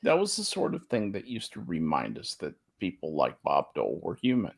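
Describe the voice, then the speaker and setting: A man's voice: low voice, huge pitch swings, a little fast, vocal fry, husky. A man chatting casually as a talk show panelist, musing in a wry way. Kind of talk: casual chat.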